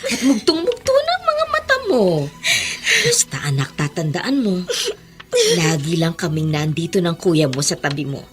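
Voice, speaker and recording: Low-voiced, loving Filipino mother. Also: sing-song, moderate pace. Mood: tender, consoling. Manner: gentle, reassuring, earnest advice-giving. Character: loving, patient mother and new grandmother, consoling her children. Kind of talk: sentimental Filipino radio drama acting.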